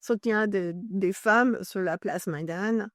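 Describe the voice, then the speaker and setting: Woman from Ireland, native English speaker, interview guest who explains at length, stops to find words, mid pitch, dry recording. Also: slow, fairly bright tone, no echo. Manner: searches for French words, self-correcting as she explains. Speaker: journalist and author, interview guest.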